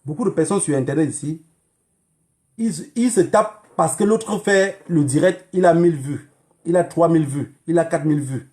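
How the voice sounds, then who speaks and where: Higher-voiced Cameroonian man, emphatic. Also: normal speed, darkish tone. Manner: emphatic and admonishing, with repeated phrases and rhetorical questions. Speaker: online activist livestreamer. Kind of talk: political livestream monologue to viewers.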